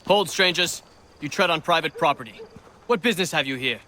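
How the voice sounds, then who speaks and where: A suspicious door guard in a video game, his tone bright and forceful. He speaks in short, curt challenges. His pitch sits a little high and moves a lot. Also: expressive, a little fast, a little hiss or hum. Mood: challenging.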